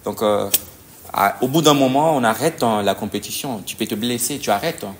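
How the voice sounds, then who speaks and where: A man, a judo coach answering interview questions in a halting way, often pausing to search for words. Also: mid-range voice, a little hiss or hum. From DR Congo.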